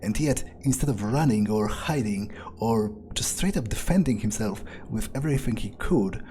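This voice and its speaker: A man narrating a video game playthrough in character, thinking aloud in a musing, halting way. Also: lowish voice, lively intonation, mellow, a little hiss or hum.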